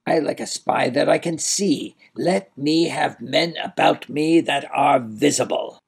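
A man voicing a cold, cruel, raging villain duke in a storybook, theatrical and imperious, with a harsh rasp. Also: medium pitch, slow pace, fairly bright tone, voice pushed up, heavily acted. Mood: cold, demanding. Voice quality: raspy, harsh voice. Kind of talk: dramatised storybook narration.

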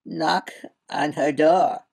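A man voicing a fretful, insistent little old male creature from a storybook, with a high, quavering voice and dramatic delivery. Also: steady tone, extremely slow, gravelly, a little mellow, exaggerated voice. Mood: urgent pleading.

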